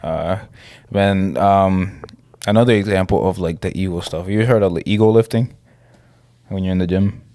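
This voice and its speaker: A young man from the Dominican Republic co-hosting a podcast, talking in a relaxed, rambling, slangy way with lots of fillers. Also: low voice, normal speed, no room sound. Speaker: podcast co-host. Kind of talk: casual podcast chat.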